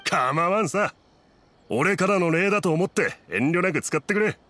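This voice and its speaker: Kindly middle-aged merchant, expressive delivery. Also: male voice, sits high, moderate pace. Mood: grateful, warm. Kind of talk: Japanese anime game voice acting.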